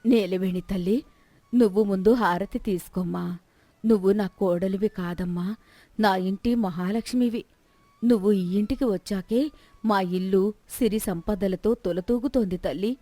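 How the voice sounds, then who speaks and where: A woman voicing a sharp-tongued, two-faced older village mother-in-law with theatrical delivery in a story. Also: mid-range voice, unhurried, dry recording, close to an everyday voice. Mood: syrupy. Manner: theatrical, scolding or syrupy.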